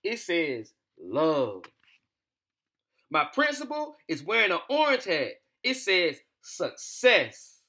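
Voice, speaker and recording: Children's story host: young American male, slightly high voice, informal and familiar, recorded roomy and a bit distant. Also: huge pitch swings, slow pace, bright, forceful tone. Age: young adult. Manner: informal, slangy, addressing listeners familiarly. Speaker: host of children's read-aloud bedtime story show. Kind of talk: casual chat to children and storybook reading. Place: small room at home, indoors. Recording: echoey room, distant camera microphone.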